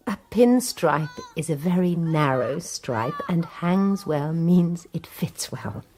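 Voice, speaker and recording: An actress playing a prim, restrained nun in a radio drama, teaching and reproving. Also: female voice, on the low side, lively intonation, takes it slowly, a little mellow, slight background noise. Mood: prim restraint.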